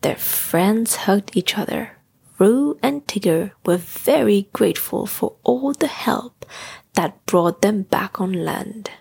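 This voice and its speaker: A woman narrating a children's bedtime story in a gentle, breathy, husky voice. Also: mid-range voice.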